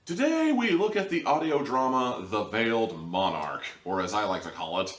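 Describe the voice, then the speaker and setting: A man's voice: lowish voice, big pitch swings, moderate pace, fairly bright tone. A young man giving a casual, rambling review to camera in an informal way, with some theatrical emphasis. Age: young adult. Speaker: reviewer, show host. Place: small living room, indoors. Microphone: camera a few feet away. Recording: roomy echo, distant camera mic.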